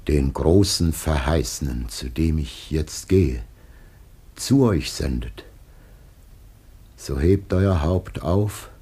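An actor playing a dying aged patriarch in a classical radio play, solemn and tender, declaiming slowly with breathy, whispered passages. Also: male voice, very deep voice, darkish tone, exaggerated voice. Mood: exalted, grave. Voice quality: gravelly, husky, breathy.

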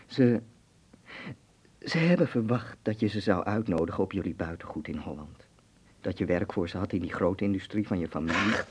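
Een acteur speelt een wereldwijze, plagerige en bazige vriend in een hoorspel, met veel nadruk. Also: male voice, low-pitched, lively intonation, normal speed, husky. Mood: insistent, scolding. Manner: emphatic, teasing, commanding. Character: worldly, teasing, bossy Dutch friend in 1930s Berlin. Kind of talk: radio drama acting.

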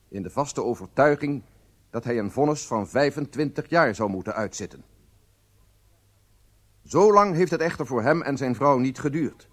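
An actor playing a proud, solemn head of a secret service, narrating a radio drama in a formal, measured way. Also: male voice, fairly high pitch. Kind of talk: scripted first-person radio drama narration.

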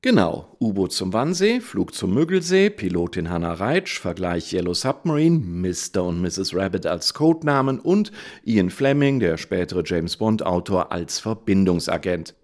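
An actor in a radio drama playing a pedantic researcher, dry, precise and matter-of-fact. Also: male voice, sits low, sing-song, normal speed, darkish tone.

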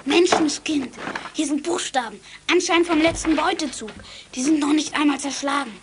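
A female performer playing a plucky child detective in a youth radio play, brisk and earnest. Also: sits high, close to an everyday voice. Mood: excited. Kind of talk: 1980s German youth radio play acting. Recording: noisy old radio play tape.

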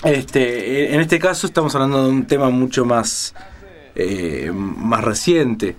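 A man chats casually as a music radio host. He speaks in a halting way, doubling back on phrases, at a middling pitch. Faint music plays behind him.

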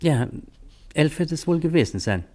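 An actor playing a talkative, worldly doctor with dry wit and a gossipy streak in a German radio crime drama. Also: male voice, on the high side, animated, normal speed, mellow. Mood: informative. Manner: talkative, wry, slightly gossipy.

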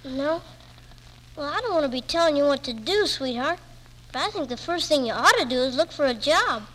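A woman's voice: high voice, lively intonation, a little fast, a bit bright. A woman playing a scrappy, loyal young newsboy with slangy, streetwise talk in a 1940s radio drama. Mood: earnest, chatty. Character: scrappy, loyal young boy selling newspapers. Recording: noisy old radio broadcast, hall reverb.

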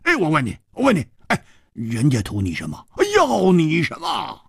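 A man voicing a brash, hot-tempered young male swordsman who stammers and whines excitedly. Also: a little high, moderate pace, vocal fry, breathy edge, no room sound, clean studio sound, pitched above the performer's own voice, heavily acted. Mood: agitated.

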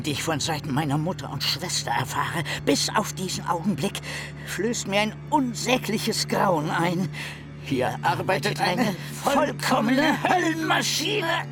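An actor playing a lonely, tormented, bitter philosopher in a radio drama, with theatrical, declamatory delivery. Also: male voice, unhurried. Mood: anguished, ranting. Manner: theatrical, declamatory, swinging from muttering to shrill outbursts. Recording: music behind the voice.

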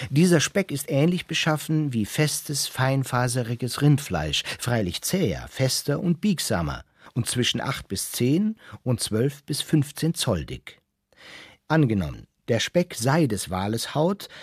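Husky, animated male narrator. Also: medium pitch, no room sound, clean studio sound. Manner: explanatory, addressing the listener. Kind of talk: essay-like literary narration in a radio drama. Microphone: close studio microphone.

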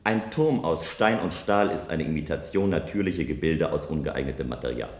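An actor playing a self-assured visionary engineer in a German science fiction radio play, speaking in measured, persuasive statements. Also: male voice, rumbling low, expressive, a little mellow. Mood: assured, insistent. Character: self-assured visionary male engineer proposing a bold tower. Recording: roomy, echoing studio radio play sound.

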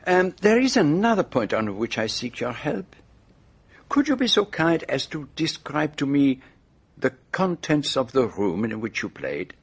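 Radio drama lead: courteous French-accented sleuth, highish husky voice, precise, slyly probing. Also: male voice, big pitch swings, unhurried, heavily acted. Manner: formal, precise, gently probing. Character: courteous, shrewd foreign male detective, fussy and sly.

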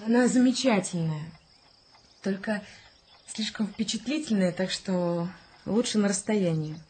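An actress playing a wistful, romantic young pregnant woman in a radio play, chatty and slightly plaintive, with a slightly husky voice. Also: mid-range voice, measured pace, a little mellow, a little hiss or hum, close to an everyday voice. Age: young adult.

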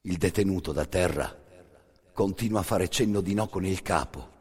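A man performing scripted dramatic storytelling as a solo narrator, grave and deliberate, in short phrases with pauses. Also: sits low, breathy edge. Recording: long reverberant hall.

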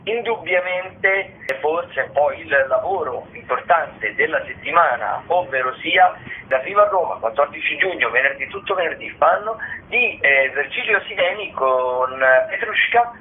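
A man giving an informal, wordy radio rundown of theatre listings, halting as he searches for words. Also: fairly high pitch, animated. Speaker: theatre radio show contributor. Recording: tinny phone line with noise.

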